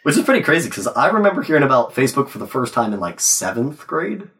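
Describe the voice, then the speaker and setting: A young man co-hosting a podcast, his voice stuffed up and nasal from a cold. He talks in a laid-back, offhand way with a lively, swinging pitch, sitting a little back from the mic. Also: far from the mic. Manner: laid-back, swears casually, quick asides. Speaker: podcast co-host. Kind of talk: casual chat.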